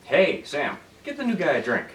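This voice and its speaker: A man's voice: a little high, wildly swooping pitch, moderate pace, fairly bright tone. A man playing a mischievous, friendly henchman in his twenties, breezy and joking, in a comedy script read. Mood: teasing. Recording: echoey room, distant mic, faint noise.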